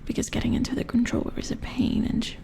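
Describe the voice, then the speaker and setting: A woman playing a calm, matter-of-fact robot repair engineer in a soft-spoken ASMR roleplay, murmuring as if thinking aloud in a breathy whisper. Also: fast, close to an everyday voice. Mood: matter-of-fact, explaining. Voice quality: breathy whisper with creaky edges.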